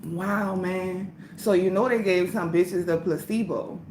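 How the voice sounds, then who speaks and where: A woman's voice: fairly low pitch, fairly even intonation, a little slow, a little mellow, roomy sound, a little hiss or hum, low-quality recording. A woman hosting a livestream, ranting in a heated, profane, preachy way. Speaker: livestream host.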